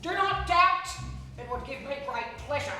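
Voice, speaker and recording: A woman's voice: very high voice, animated, moderate pace, sharp tone, recorded from a distance, a little hiss or hum, heavily acted. A woman playing a stern, scolding police officer in a live radio play. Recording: very echoey stage sound from afar, with music.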